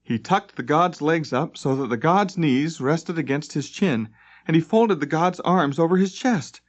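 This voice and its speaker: A man's voice: a little high, animated, a little slow. A man reading scripted fantasy narration dramatically, as an audiobook narrator. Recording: dull lo-fi tape transfer.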